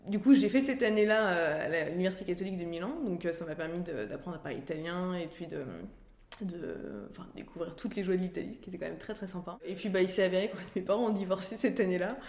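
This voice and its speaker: A woman's voice: on the low side, fast talker, darkish tone. A woman, telling her story in a chatty, informal, conversational way with self-deprecating asides. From France. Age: 30s. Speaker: interview guest, career-change coach and author. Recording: roomy, echoing sound.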